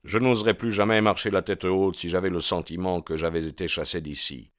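A man's voice: deep voice, fairly even intonation, a little fast, a bit bright, no echo, clean studio sound. A man voicing a bold, ardent young man in an audiobook, proud and insistent in his delivery. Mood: resolute. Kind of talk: audiobook character dialogue.

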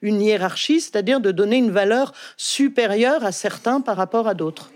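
A woman's voice: mid-range voice, normal speed, darkish tone. A woman being interviewed as an expert, speaking spontaneously and hesitantly, with repeated phrasing as she thinks aloud.

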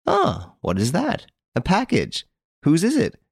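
Man acting an excitable, swooping French gentleman. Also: on the high side, a little slow, gravelly, breathy edge, no echo, studio quality, voice pushed up, exaggerated voice. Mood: curious. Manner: exclamatory, emphatic, swooping. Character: excitable, astonished French gentleman, easily amused.

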